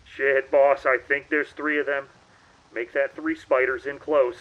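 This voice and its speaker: A man's voice: mid-range voice, flat delivery, unhurried, dull audio, voice pushed up, close to an everyday voice. A man voicing a strained, panicky mech sergeant urgently calling out over the radio in a military audio drama. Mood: strained, worried.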